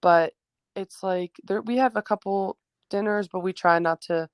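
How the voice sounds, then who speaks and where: A teenage girl from New Jersey, a high school basketball player, answering interview questions casually with many fillers; her first language was Greek. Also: moderate pace, clean tone, no echo, clean studio sound. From New Jersey, USA. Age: teens.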